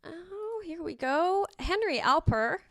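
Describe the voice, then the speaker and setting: A woman's voice: very high-pitched, expressive, slow pace, a bit bright, no echo, clean studio sound. A woman hosting a show, reading out an outro and introducing the cast.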